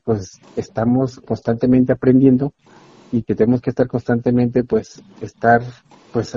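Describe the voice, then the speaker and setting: A man's voice: medium pitch, warm tone, breathy edge, dry recording. A man, a university expert guest earnestly explaining things at length in an interview. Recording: dull lo-fi dry sound.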